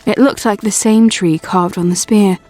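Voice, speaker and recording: A woman's voice: medium pitch, steady tone, normal speed, a little mellow, faint music behind. A woman reading scripted fairy-tale narration in a storybook storytelling manner.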